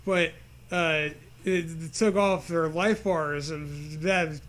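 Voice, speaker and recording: A man's voice: a little high, fairly even intonation, takes it slowly, fairly bright tone. A man co-hosting with casual, sarcastic commentary, crude jokes and mock-dramatic reactions. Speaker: co-host reacting to a read story.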